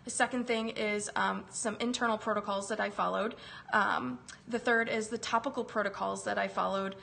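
A woman's voice: mid-range voice, steady tone, normal speed, vocal fry, bright, forceful tone. A woman in her thirties chatting on a live stream from her home kitchen, recovering from a chest virus and greeting viewers by name. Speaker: wellness live-stream host. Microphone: phone camera close up.

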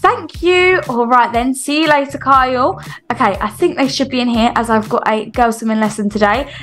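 A woman playing a friendly, brisk swimming coach who cheerily instructs toddlers in a game roleplay. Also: a little high, fairly bright tone, soft background music, voice pushed down, natural voice. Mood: upbeat.

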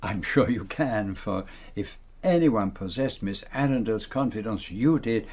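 An actor playing a courteous, shrewd Belgian detective in a British radio play, questioning in a formal, probing way. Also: male voice, lowish voice, animated, measured pace, a little mellow.

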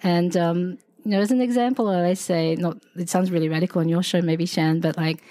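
An Australian woman in her forties talks through a relaxed interview in a mellow, low-ish voice, rambling and full of asides. The sound is dry and close, with no room echo. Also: a little fast. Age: 40s. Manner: chatty and rambling, many fillers and asides, laughs often. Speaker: podcast guest, sociologist. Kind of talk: casual conversational interview.

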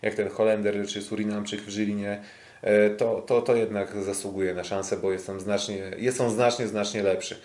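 A man hosting a casual sports podcast, talking in a hesitant, halting way. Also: on the low side, level tone, darkish tone. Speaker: podcast host. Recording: roomy, echoing sound.

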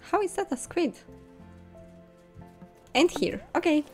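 A young woman giving casual gameplay commentary, expressive and musing to herself. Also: high voice, slow. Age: young adult. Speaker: video game streamer. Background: soft game music.

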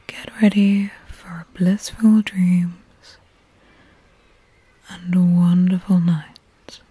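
A young woman whispering a soothing, hushed ASMR guided relaxation, close to the microphone outdoors. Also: on the low side, little pitch movement, drawn-out words, slightly rough, dry recording. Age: young adult. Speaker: ASMR host. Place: outdoors on a seaside cliff. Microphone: close binaural microphone.

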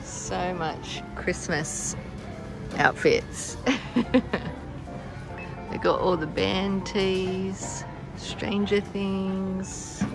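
Slow, gravelly Australian woman vlogging. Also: medium pitch, over music, rough recording. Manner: chatty, laughs often, relaxed. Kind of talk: casual shopping vlog. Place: large department store. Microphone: handheld phone camera.

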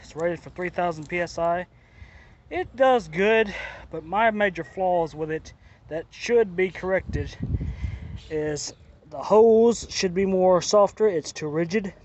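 A man with a fairly high voice gives a casual product review outside. He speaks plainly and keeps circling back to the same complaints. The phone audio is a little dull, with faint outdoor noise behind him. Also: takes it slowly, a little mellow. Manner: plainspoken, repeats his complaints. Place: outdoors by a driveway and lawn.

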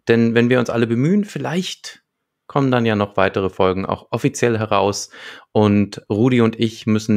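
A man's voice: lowish voice, darkish tone. A man co-hosting a podcast in a conversational, partly prepared style, speaking close to a desktop microphone at home. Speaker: podcast co-host. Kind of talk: conversational podcast talk with prepared plot summaries. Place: small home room with shelves.

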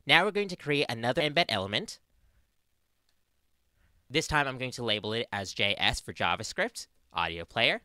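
A woman's voice: rumbling low, expressive, moderate pace, pauses often, sharp tone, no echo. A young adult woman with an Australian accent presenting a scripted tutorial into a close studio mic. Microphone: close studio mic on boom arm.

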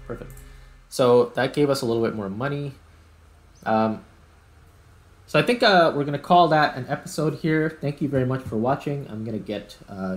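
A man giving casual, unhurried gameplay commentary, thinking aloud as he plays. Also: mid-range voice. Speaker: host, gamer.

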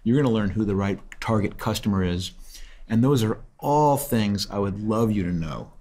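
A man's voice: lowish voice, animated, moderate pace, a little mellow. A man in his sixties, a host, giving direct, encouraging business advice from a studio set. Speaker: host, mentor. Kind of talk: advice in casual chat. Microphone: studio mic on desk.

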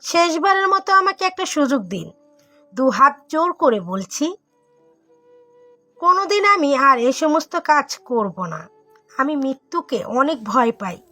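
A woman's voice: sits high, very animated, measured pace, pauses often, bright, forceful tone, exaggerated voice. A woman playing a cornered wrongdoer in an audio drama, pleading emotionally for mercy. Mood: desperate pleading. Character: cornered wrongdoer begging for mercy, secretly spiteful. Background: music.

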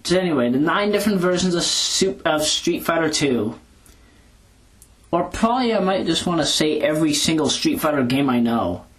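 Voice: medium pitch, lively intonation, moderate pace. A speaker giving a casual, rambling audio log in an informal, hesitant, self-correcting way, talking into a handheld recorder. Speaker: video blogger. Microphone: handheld audio recorder moved back and forth.

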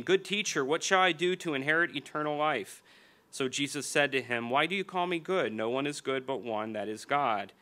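Earnest American preacher at a lectern. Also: male voice, mid-range voice, sharp tone. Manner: earnest, slows for emphasis, repeats key phrases. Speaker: pastor. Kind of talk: sermon with scripture reading. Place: church hall stage at a lectern. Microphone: small stand mic on the lectern.

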